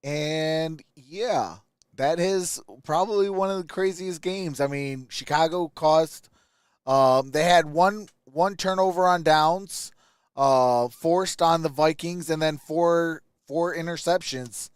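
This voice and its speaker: A man hosting a sports livestream, giving casual post-game commentary to viewers in a halting, conversational way. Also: on the high side, smooth, no room sound, clean studio sound.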